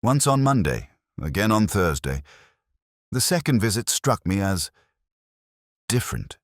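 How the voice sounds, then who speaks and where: A man voicing a wary, polite bank manager of sixty in a measured, formal manner. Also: lowish voice, very animated, dry recording, studio quality, pitched above the performer's own voice. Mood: recollecting.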